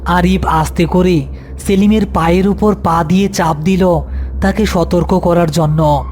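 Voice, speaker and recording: A man's voice: high-pitched, steady tone, unhurried, a little mellow, slight background noise. A man narrating a scripted horror story.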